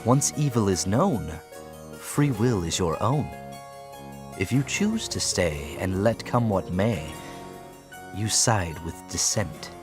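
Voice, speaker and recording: Low, slow, dramatic male storyteller. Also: animated. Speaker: audiobook narrator. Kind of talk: theatrical scripted storytelling in rhyme. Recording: music behind the voice.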